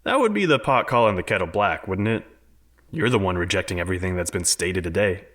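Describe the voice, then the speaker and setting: Audio drama: male actor as visionary scientist, low register, earnest, argumentative, self-assured. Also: expressive, fairly quick, a bit bright. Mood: confident. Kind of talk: dramatic audio fiction acting.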